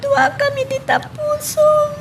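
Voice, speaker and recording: Woman acting a high-pitched, heartbroken woman in love. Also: level tone, slow, recorded from a distance, a little hiss or hum, full character voice. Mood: hurt. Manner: tearful, melodramatic. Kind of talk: Ilocano radio drama acting.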